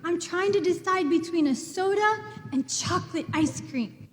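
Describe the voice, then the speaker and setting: An earnest little girl played by a woman, high-pitched, shy and devoted. It is stage radio acting in a hall with long reverb and a little noise. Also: normal speed. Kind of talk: live radio play acting. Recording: echoey hall, audible background hiss.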